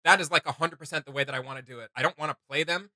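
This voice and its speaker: A man co-hosting a casual reaction video, talking in an animated, rambling way and searching for words aloud. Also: a little high, very fast, dry recording, studio quality. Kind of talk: casual rambling discussion to viewers.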